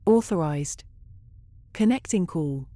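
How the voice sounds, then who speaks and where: A woman's voice: fairly low pitch, animated, takes it slowly, warm tone, no echo. A woman voicing an impassive ship's computer in a sci-fi audio drama, speaking in clipped, formal, procedural phrases. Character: impassive female ship's computer announcing calls.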